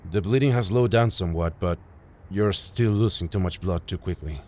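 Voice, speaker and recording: A man playing a calm, caring field medic who reassures a patient in an intimate audio roleplay. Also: low voice, normal speed, natural voice. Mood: caring, reassuring. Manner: gentle, reassuring, matter-of-fact, addressing a patient directly.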